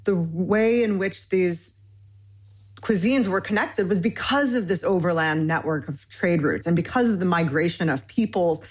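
A woman's voice: fairly low pitch, moderate pace, slightly rough. A woman from Michigan, a cookbook author speaking as an interview guest, enthusiastic and rambling with many fillers. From Michigan, USA. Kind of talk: conversational interview.

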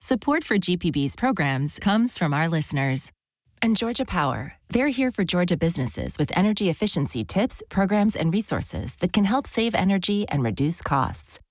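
A woman reading a station announcement in a clear, read-out way. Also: on the low side, very animated, moderate pace, no echo, clean studio sound.

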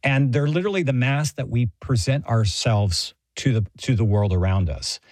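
A man's voice: medium pitch, moderate pace, a little mellow, no echo, clean studio sound. A middle-aged American man speaking as an interview guest, explaining ideas conversationally in a thoughtful, professorial way. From Texas, United States. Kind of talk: conversational explanation and personal anecdote.

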